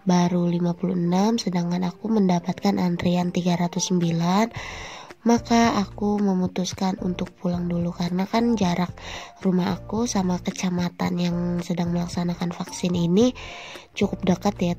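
A woman's voice: lowish voice, dark tone. A young woman with a stuffy, nasal cold voice, narrating her vlog in a chatty way and talking straight to viewers. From Indonesia. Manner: chatty, friendly, addresses viewers directly. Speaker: vlogger and housewife. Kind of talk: casual voice-over vlog narration.

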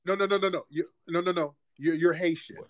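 A man's voice: high-pitched, steady tone, brisk, no room sound. Guy arguing on his call-in show, talks over people, repeats lines, dry close sound. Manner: combative, repeats himself, talks over caller. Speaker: host. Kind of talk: heated call-in debate.

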